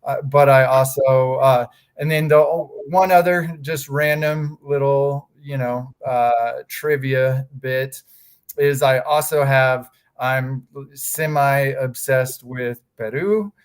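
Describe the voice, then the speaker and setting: Slow, halting man. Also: mid-range voice, fairly even intonation, smooth. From Texas, USA. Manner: hesitant, many fillers and restarts. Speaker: guest, fraud examiners association staff. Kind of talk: casual interview conversation.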